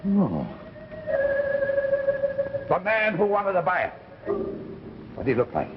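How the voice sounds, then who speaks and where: An actor playing an obsessive, excitable professor who pleads in halting, repeated phrases in a 1950s drama. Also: male voice, high-pitched, heavily acted. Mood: anxious probing. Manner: agitated, halting, repeats words. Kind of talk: 1950s anthology drama acting. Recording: noisy, muffled 1950s broadcast recording.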